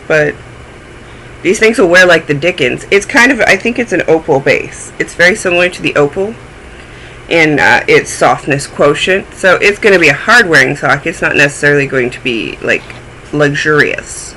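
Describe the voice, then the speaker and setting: Male podcast host, a touch phlegmy, chatty and self-mocking, with a sharp, bright tone. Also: on the high side, normal speed, a little hiss or hum, low-quality recording. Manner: chatty, rambling, self-deprecating. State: a little phlegmy. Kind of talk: casual chat.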